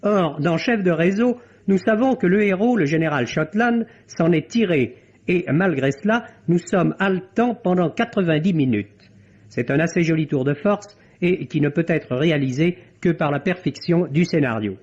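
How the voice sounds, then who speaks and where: A man's voice: a little high, lively intonation, normal speed, darkish tone. A man delivering a film review as a critic on the radio. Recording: dull vintage French radio broadcast.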